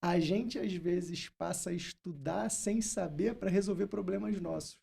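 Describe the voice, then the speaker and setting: A man's voice: sits high, unhurried, creaky, warm tone, slightly rough. A Brazilian man hosting a podcast, telling stories and putting questions in a casual, reflective way. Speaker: podcast host. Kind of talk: casual conversational interview.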